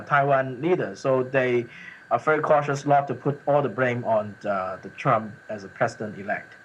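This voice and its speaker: A man giving expert analysis in an interview, speaking haltingly with many filler sounds. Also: mid-range voice, fairly bright tone, a little hiss or hum. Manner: hesitant, halting delivery with frequent filler sounds. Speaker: academic guest expert. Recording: dull, muffled lo-fi audio.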